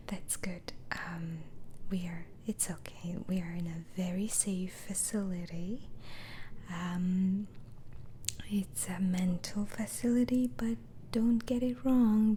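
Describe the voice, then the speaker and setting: A woman whispers an ASMR roleplay as a doctor, taking her time with halting, reassuring phrases. Her tone is soft and mellow. A faint hiss sits behind the close recording. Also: on the low side.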